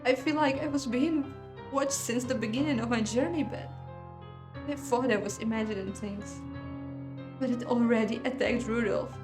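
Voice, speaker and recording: A woman's voice: fairly high pitch, moderate pace, music in the background, natural voice. A woman voicing a frightened young bride-to-be in a visual novel, pleading urgently and frantically.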